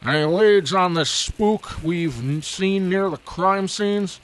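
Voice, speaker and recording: An actor playing a stern, demanding police commissioner in an old time radio drama. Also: male voice, sits high, slow pace, a bit bright. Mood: urgent, grim.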